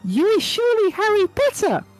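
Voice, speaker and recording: A woman voicing a timid female house elf servant with a high, quivering squeak and broken, deferential grammar. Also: wildly swooping pitch, moderate pace, soft background music, heavily acted, low-quality recording. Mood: timid servile.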